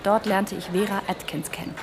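A woman's voice: mid-range voice, fairly even intonation, fairly quick, close to an everyday voice. Woman playing an earnest young radio-drama heroine, faint noise behind. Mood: candid, earnest. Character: earnest, polite young woman, wartime resistance radio operator. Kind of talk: naturalistic German radio drama acting.

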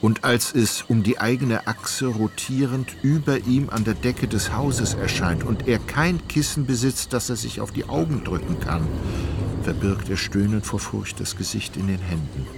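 A man with a lowish voice narrates a literary radio play. Clear ambient sound effects run behind his scripted storytelling. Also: expressive, unhurried, background noise.

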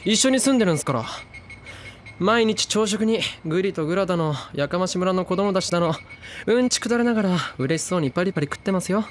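A man's voice: sits high, animated, fast talker, slight background noise. An actor playing a laid-back teenage boy who talks in a casual, slangy, friendly way. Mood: easygoing. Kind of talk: drama CD acting.

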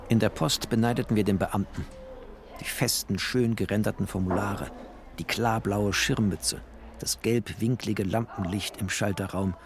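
An actor as low, creaky-voiced bookish narrator. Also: male voice, fairly even intonation, normal speed, close to an everyday voice. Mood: observant, lyrical. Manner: poetic, clipped phrasing. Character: bookish, wry, tender poor writer narrating his life. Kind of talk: literary first-person radio play narration.

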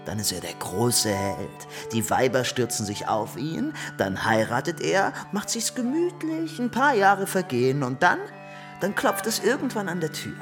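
Swaggering, vengeful little soldier, wildly swooping voice. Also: male voice, a little high, very animated, measured pace, over music. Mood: gloating menace. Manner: crude, boastful threats. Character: small, vengeful, foul-mouthed soldier with a broken arm. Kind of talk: radio play acting.

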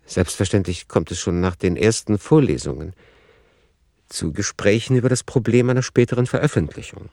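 A man reading scripted radio drama narration in a formal, matter-of-fact, measured manner. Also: on the low side, creaky, a little mellow, no room sound, clean studio sound.

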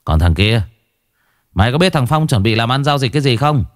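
A man voicing a blunt male police investigator who grills captured thugs in a gruff, coarse way. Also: medium pitch, expressive, a little fast, no room sound, clean studio sound, lightly acted. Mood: stern grilling. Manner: gruff interrogating, coarse-spoken.

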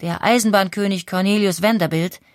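A woman narrating a historical radio documentary in a calm, even storytelling way into a studio mic. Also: medium pitch, fairly quick, no echo.

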